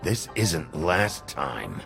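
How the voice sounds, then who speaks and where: A man voicing a gruff, weary wolf sheriff in a video game, terse, stern and deadpan. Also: drawn-out words, soft background music, exaggerated voice. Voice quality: low and gravelly. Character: gruff, weary werewolf sheriff keeping order.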